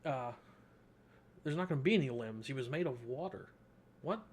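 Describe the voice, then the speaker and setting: A man's voice: mid-range voice, expressive, normal speed, breathy edge. A young man giving casual, slangy, joking let's-play commentary as a gaming streamer.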